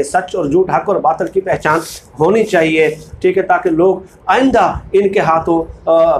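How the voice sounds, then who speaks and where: Man, Pakistani accent, high-pitched voice, emphatic political commentary, repeated phrases, faint background noise. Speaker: political commentary video host. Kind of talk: informal political commentary, reading book excerpts.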